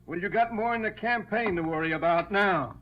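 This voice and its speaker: An actor playing a tough, blunt, slangy police sergeant in a 1940s radio crime drama. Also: male voice, high-pitched, brisk, noisy background, natural voice. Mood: blunt, challenging. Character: tough, gruff-talking male police sergeant. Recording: muffled old radio broadcast, faint music.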